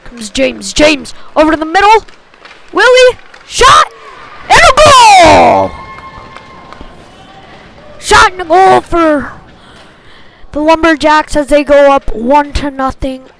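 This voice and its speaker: A woman's voice: high-pitched, animated, slow pace, vocal fry, long pauses, fairly bright tone, a little hiss or hum, poor audio. A woman doing live play-by-play hockey commentary in short, clipped phrases that follow the action.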